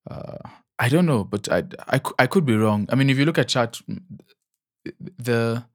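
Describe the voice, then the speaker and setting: A man's voice: medium pitch, lively intonation, normal speed, dry recording, clean studio sound. A young man co-hosting a podcast in casual chat, rambling with many ums and restarts and laughing easily. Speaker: podcast co-host.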